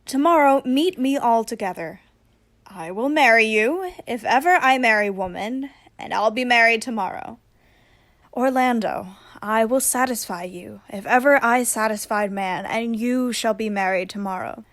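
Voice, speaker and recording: A woman acting a commanding heroine disguised as a young shepherd in a Shakespearean radio play, speaking in measured, emphatic declamation. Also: fairly high pitch, fairly bright tone. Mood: commanding, assured.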